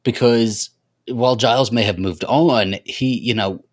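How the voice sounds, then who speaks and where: A man's voice: medium pitch, a little slow. A man co-hosting a podcast, giving his opinions casually and thinking aloud as he talks. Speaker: podcast co-host.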